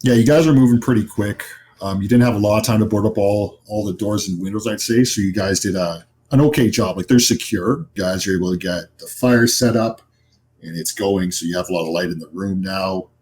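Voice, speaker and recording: Low-pitched male game master. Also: brisk, darkish tone, a little hiss or hum. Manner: informal, conversational. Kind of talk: casual improvised narration of a tabletop game.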